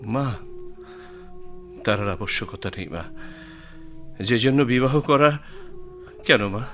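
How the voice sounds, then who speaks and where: An actor playing an earnest young village husband and devoted son in a radio drama, emotional and hesitant. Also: male voice, mid-range voice, animated, slow pace. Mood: imploring. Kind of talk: Bengali radio drama acting. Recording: music behind the voice.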